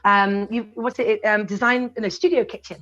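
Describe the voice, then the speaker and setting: A woman's voice: medium pitch, steady tone, moderate pace, fairly bright tone, highs cut off. A woman hosting a live stream, upbeat and encouraging as she asks her guest questions. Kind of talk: casual live-stream interview.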